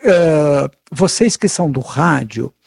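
High-pitched, raspy middle-aged Brazilian man. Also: animated, slow pace, darkish tone, dry recording, studio quality. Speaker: interview guest, veteran marathon runner. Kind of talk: casual anecdotal interview chat.

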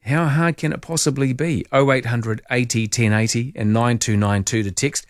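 Man, New Zealand accent, talkback radio host, jokey, chatty, middle pitch, dry close mic. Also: fairly even intonation, dry recording. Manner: chatty, jokey, thinks aloud with quick asides. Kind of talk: late-night talkback radio chat. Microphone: studio microphone.

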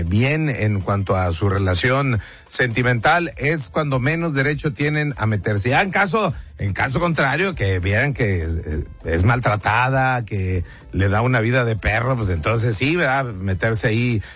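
A man's voice: medium pitch, animated, normal speed, hardly any pauses, a bit bright, slightly rough. A man with a northern Mexican accent hosting a casual call-in radio show, colloquial and repetitive, talking directly to his listeners. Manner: colloquial, repeats himself, talks directly to listeners. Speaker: radio host. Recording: dull lo-fi radio sound, music behind. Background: music.

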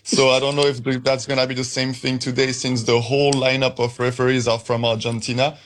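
A man's voice: mid-range voice, flat delivery, a little fast, a little hiss or hum. A man talking casually as a football supporter and guest in a live interview.

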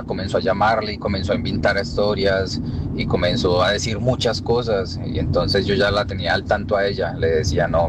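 A man calling in to a radio show, earnest and reflective, speaking haltingly with many pauses. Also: sits low. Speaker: radio caller. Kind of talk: personal confession on air. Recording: noisy line with loud traffic.

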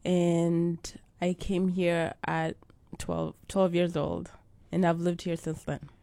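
A young adult woman from Uganda, telling her life story as an interview guest, slow and thoughtful with frequent fillers. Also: fairly low pitch, little pitch movement, gravelly, warm tone, no echo. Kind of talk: interview about life and faith.

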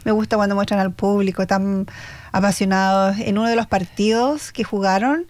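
A woman's voice: mid-range voice, fairly even intonation, moderate pace, a little mellow, no room sound. An older woman with a Chilean accent hosting a chatty Spanish-language radio talk show, asking questions with lots of fillers. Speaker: radio host. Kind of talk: casual radio interview. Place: radio studio.

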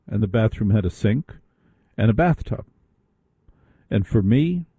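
A man's voice: lowish voice, dark tone, husky, no room sound. A man hosting a late-night radio talk show, telling a chatty anecdote and taking calls. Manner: chatty, anecdotal, interrupts callers. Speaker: radio host.

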